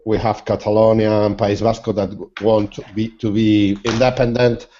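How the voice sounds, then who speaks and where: A man's voice: lowish voice, little pitch movement, normal speed, dark tone, muffled recording, slight background noise. A man from Spain, answering hesitantly in a learner's English during an online class. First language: Spanish. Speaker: student. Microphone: video call.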